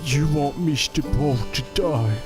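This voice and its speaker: An actor playing a slow-witted, timid, childlike servant who talks in simple broken sentences and speaks of themself in the third person. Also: mid-range voice, close to an everyday voice. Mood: puzzled, meek. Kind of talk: audio drama acting. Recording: music under the voice.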